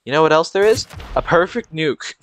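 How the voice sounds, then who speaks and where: A man's voice: fairly high pitch, animated, a little fast, fairly bright tone. A young man giving rambling, casual commentary over gameplay, with frequent fillers and restarts. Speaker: gameplay video creator.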